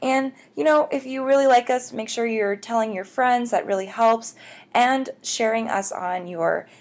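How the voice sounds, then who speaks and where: A woman's voice: fairly high pitch, moderate pace. A woman hosting a book podcast, talking to listeners in a bubbly, chatty way and calling them guys. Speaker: podcast host. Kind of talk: upbeat podcast intro and promo.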